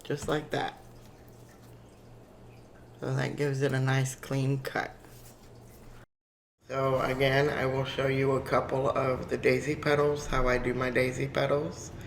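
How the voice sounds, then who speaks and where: Slow, raspy woman with a cold. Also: a bass voice, little pitch movement, slight background noise. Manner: chatty and unhurried, frequent ums. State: hoarse from a cold. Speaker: craft tutorial host. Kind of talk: casual step-by-step crafting tutorial.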